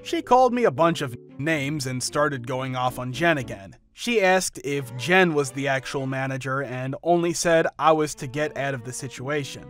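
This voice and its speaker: A man narrating first-person workplace stories from a script, with sudden jumps up in pitch and loudness mid-sentence. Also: animated, normal speed, hardly any pauses. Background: faint background music.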